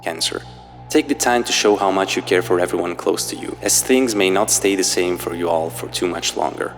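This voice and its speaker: A man's voice: low-pitched, a little fast, faint music behind. A man hosting and narrating a scripted analysis, explaining things directly to viewers and reading out quotes. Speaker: narrator and video host.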